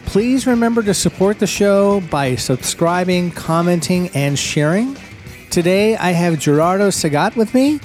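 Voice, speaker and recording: A man's voice: high-pitched, lively intonation, measured pace, a little mellow, faint music behind. A middle-aged man hosting a business podcast, upbeat and encouraging as he welcomes and questions his guest. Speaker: podcast host.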